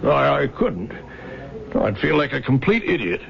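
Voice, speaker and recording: An actor playing a timid, anxious young bridegroom in an old-time radio drama, hesitant and stammering. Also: male voice, medium pitch, very animated, a little slow, creaky, soft background music, exaggerated voice. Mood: nervous, hesitant. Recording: dull lo-fi 1970s radio broadcast.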